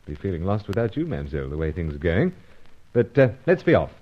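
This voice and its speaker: An actor playing an unflappable secret agent in a radio spy adventure, with clipped, understated phrasing. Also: male voice, deep voice, big pitch swings, fast talker. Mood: dry, understated. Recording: dull lo-fi recording.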